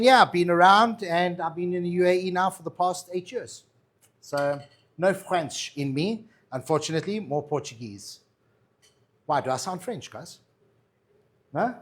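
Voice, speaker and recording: A man with a South African accent, a chef chatting casually through a cooking demo and answering viewer questions. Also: on the high side. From Madeira, raised in South Africa. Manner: chatty, reassuring, tags lines with "all right?" and "yeah?".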